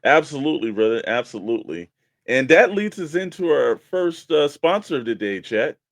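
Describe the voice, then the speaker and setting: A man's voice: medium pitch, very animated, normal speed, dry recording, clean studio sound. A man co-hosting a toy collecting radio show in casual chat, with informal, laid-back buddy banter.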